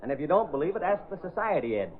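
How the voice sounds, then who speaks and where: Tinny, animated wisecracking old-radio newspaperman. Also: a little high, rapid-fire. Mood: breezy, needling. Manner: breezy, needling, slangy. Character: nosy, slangy, wisecracking newspaperman, big fellow. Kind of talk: 1930s-40s radio drama acting. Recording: tinny, band-limited old radio recording.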